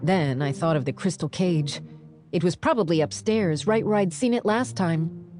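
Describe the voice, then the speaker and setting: A woman narrating a dramatized audiobook in the first person, with wry, expressive storytelling. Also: fairly low pitch, normal speed.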